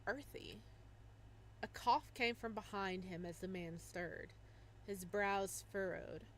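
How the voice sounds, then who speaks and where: Woman, streamer, expressive game narration, mid-range pitch, faint background noise. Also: takes it slowly. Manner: expressive, shrieks and laughs at surprises. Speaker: streamer reading a visual novel aloud. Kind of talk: dramatic reading of game text with reactions.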